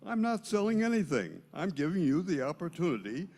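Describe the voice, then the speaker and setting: Man acting a smarmy salesman, flattering, grandly wordy, highly animated pitch, slightly high voice, amateur comedy skit. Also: brisk. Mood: smooth-talking, pushy. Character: slick, flattering male telephone salesman. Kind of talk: amateur comic skit reading.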